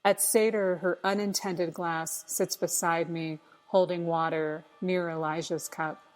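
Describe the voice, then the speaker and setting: A woman reading her own literary essay in measured, deliberate short phrases. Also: on the low side, steady tone, faint music behind, poor audio. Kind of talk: scripted literary narration of a personal essay.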